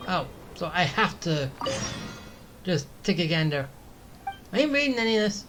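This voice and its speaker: A man's voice: sits high, big pitch swings, normal speed, over music. A man giving casual, blunt, offhand commentary while he plays a video game. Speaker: let's play streamer.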